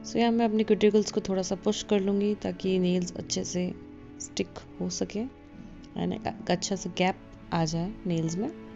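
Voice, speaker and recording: A woman giving a relaxed, casual voice-over for a nail tutorial, slipping English phrases into her talk. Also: medium pitch, a little slow, creaky. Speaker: beauty vlogger. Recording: dull lo-fi voice-over with faint music.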